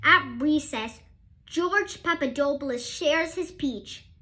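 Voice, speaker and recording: Woman, very high youthful voice, unhurried pace, children's storybook read-aloud, expressive asides to listeners. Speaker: storybook reader.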